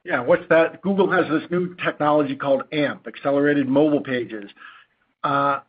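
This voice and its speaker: A man speaking as a company chief executive on an earnings call, unscripted and informal, with a halting, digressive delivery. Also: a little high, slightly rough. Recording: dull lo-fi conference call audio.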